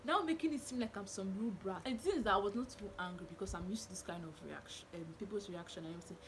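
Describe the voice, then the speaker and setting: A young woman with a Nigerian accent, telling stories to camera in a small bedroom with animated gestures. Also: mid-range voice, rapid-fire, a little hiss or hum. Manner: animated, expressive, indignant storytelling with hand gestures. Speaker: vlogger. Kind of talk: storytelling to camera. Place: small bedroom with curtain backdrop.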